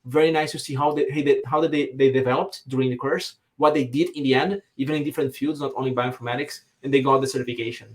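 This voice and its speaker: A man's voice: mid-range voice, fairly even intonation, fast, hardly any pauses. Brazilian man, native Portuguese speaker, enthusiastic. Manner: enthusiastic, repeats words while searching for phrasing. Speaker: guest. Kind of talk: casual podcast discussion.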